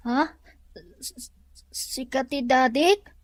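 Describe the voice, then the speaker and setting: A woman plays a small child in an audio drama, with a very high voice. The child sounds curious, eager and pleading. The sound is close and dry. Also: slow, no echo. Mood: curious, surprised. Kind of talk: Ilocano radio-style drama acting.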